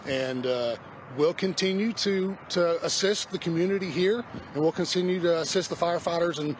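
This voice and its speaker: A middle-aged man speaks as a fire department spokesman at an outdoor press briefing, stopping and restarting his words. His voice sits a little high for a man. Steady traffic and street noise run behind him. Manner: halting, repeats words when unsure. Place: outdoors by a parking lot. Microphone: news camera mic, close.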